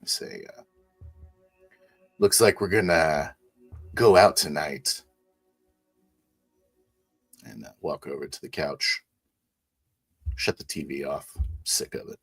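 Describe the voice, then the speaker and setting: A man narrates his own character in a tabletop roleplay. His voice is extremely deep and husky, and his phrasing is hesitant and full of pauses. Quiet music runs underneath. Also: expressive. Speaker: roleplay player. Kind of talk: first-person roleplay narration with in-character lines.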